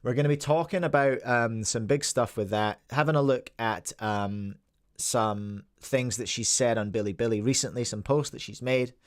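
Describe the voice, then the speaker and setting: A Scottish man in his forties hosts a casual live podcast, his voice sitting at an ordinary middle pitch. He rambles along in a loose, chatty way and greets people in the chat as he goes. The sound is dry and close, with no room around it. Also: moderate pace, no echo. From Scotland. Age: 40s. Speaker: podcast host.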